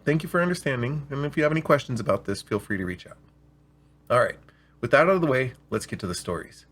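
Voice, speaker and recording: A man with a slightly rough voice, hosting and reading scripted stories aloud in an expressive, deliberate way. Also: medium pitch. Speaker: storyteller and show host. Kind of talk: scripted story reading with spoken intro.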